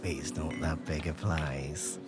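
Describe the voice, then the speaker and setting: Guy doing a really low voiced sly crook for a tabletop rpg, super courtly and evasive, music under it. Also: little pitch movement, takes it slowly. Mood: smooth, courtly. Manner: mock-courtly, effusive, evasive. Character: sly, genial, florid-talking thief named Rubblesack. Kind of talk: improvised tabletop game role-play.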